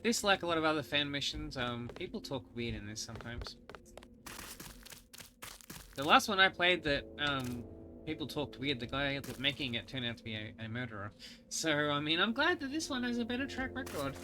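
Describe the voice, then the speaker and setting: A man's voice: a little high, sing-song, normal speed, bright, forceful tone, slight background noise. A man with a cold and a slightly nasal voice, rambling through casual gameplay commentary with many fillers. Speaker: gaming host. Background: game music.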